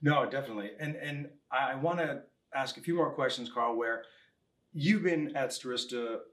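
A man's voice: mid-range voice, normal speed, fairly bright tone. A man co-hosting a casual interview podcast, chatting informally with jokey asides. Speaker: podcast co-host.

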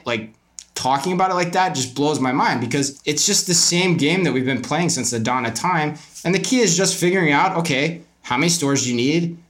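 A man's voice: a little high, a bit bright. Opinionated man giving interview answers. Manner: opinionated, emphatic, rhetorical questions. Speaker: podcast guest, retail commentator.

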